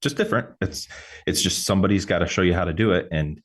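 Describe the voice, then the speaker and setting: A man hosting a podcast conversation, talking casually and haltingly with lots of restarts. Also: low voice, expressive. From New York State. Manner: halting, many restarts and fillers. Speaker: podcast host, mover. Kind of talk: casual interview chat.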